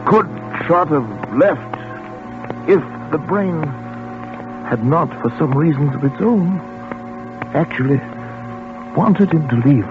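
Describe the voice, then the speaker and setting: An actor playing a tormented scientist and father in a 1940s radio thriller, dramatic and halting, with words repeated in dread. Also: fairly high pitch, animated. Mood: haunted, brooding. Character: tormented male scientist, a father in thrall to a brain. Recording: tinny 1940s radio broadcast with music.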